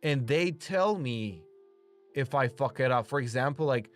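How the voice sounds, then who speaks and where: A man's voice: mid-range voice, animated, measured pace, soft background music. A young man from Romania with a slight Romanian accent, chatting casually with stream viewers, rambling with lots of "like" and freely swearing. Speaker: streamer and voice actor.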